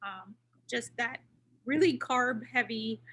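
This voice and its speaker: A woman moderating an online cooking class, asking guiding questions and explaining in a relaxed way over a video call. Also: fairly high pitch, slow pace. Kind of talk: casual online class chat.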